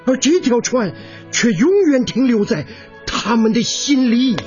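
A man playing a genial American war veteran, with a drawn-out, theatrical radio drama delivery. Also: very high voice, darkish tone. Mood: solemn, resigned. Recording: muffled radio drama recording, music underneath.

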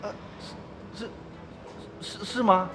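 An actor playing a nervous, evasive village nephew in a radio drama, stammering and hesitant. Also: male voice, high-pitched, fairly even intonation, slow pace, music in the background.

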